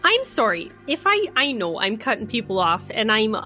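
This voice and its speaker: A woman with a big voice playing a tabletop game, skeptical and quick to cut in. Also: on the high side, very animated, sharp tone, soft background music. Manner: big voice, skeptical, talks over others. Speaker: player. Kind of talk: casual tabletop roleplay.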